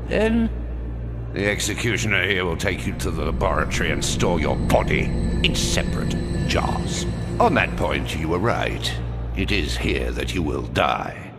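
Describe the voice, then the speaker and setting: An actor playing a menacing, haughty interrogator in a video game, condescending and theatrically sarcastic. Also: male voice, deep voice, huge pitch swings, a little slow, gravelly, loud music behind the voice, exaggerated voice. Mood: menacing, scornful.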